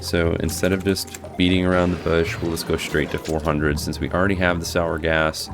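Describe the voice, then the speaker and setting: A hoarse man casually talking through his gameplay as a guide host, thinking aloud as he plays. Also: deep voice, normal speed. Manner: thinking aloud, relaxed, restarts. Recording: music playing behind.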